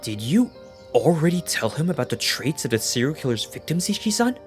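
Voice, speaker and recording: A man's voice: fairly high pitch, very animated, fairly quick, soft background music. A man playing a dutiful police officer in an anime dub, speaking in an official, reporting manner. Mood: startled.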